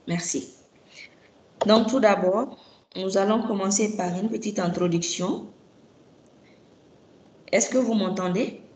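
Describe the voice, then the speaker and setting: A woman's voice: mid-range voice, takes it slowly, pauses often, darkish tone, roomy sound. A woman moderating a business webinar over a video call, speaking in a formal, polite and structured way. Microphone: video call microphone.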